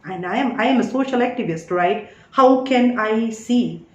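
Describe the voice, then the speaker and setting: A woman's voice: a little high, normal speed, roomy sound, dull audio. A woman in her thirties who reads tarot, talking in an emphatic spiritual monologue and often checking in with "all right?". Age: 30s. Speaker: tarot reader.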